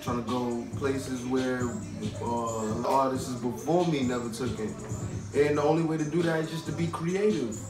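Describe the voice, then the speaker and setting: A man's voice: medium pitch, normal speed, reverberant, distant mic, music in the background, rough recording. A man from Queens with a New York accent, talking loosely in street slang as a rapper interview guest. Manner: laid-back street slang, loose and rambling. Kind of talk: casual interview.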